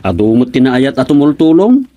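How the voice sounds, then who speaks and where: An actor with an Ilocano accent playing a talkative, excitable village councilman in a radio comedy-drama, musing and exclaiming. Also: medium pitch, normal speed, close to an everyday voice. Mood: wry.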